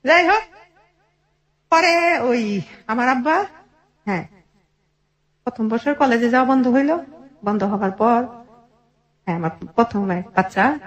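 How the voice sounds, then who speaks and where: Higher-voiced Bangladeshi woman telling her story. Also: unhurried, pauses often, low-quality recording. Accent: colloquial Bangladeshi. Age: 40s. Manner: animated retelling with quoted family dialogue. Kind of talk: informal personal storytelling.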